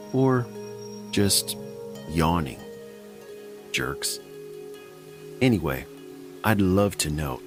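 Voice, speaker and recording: Wry low-voiced male podcast host. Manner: wry, self-mocking, chatty. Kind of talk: casual scripted podcast narration.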